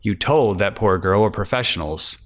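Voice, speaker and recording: Man acting a low-voiced, terse private detective. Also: expressive, normal speed, a bit bright. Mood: scolding. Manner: terse, matter-of-fact. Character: weary, blunt male private detective, agency boss.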